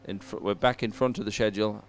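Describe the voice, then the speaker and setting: A man's voice: on the low side, steady tone, quick pace, slight background noise. A man giving live race commentary in an informal, conversational and encouraging way. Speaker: sports commentator.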